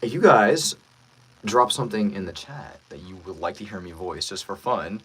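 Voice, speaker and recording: A man who is a voice actor, chatting casually with livestream viewers in an animated manner. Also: on the low side, normal speed, slight background noise, rough recording. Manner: animated, reads out viewer comments. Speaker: voice actor, streamer.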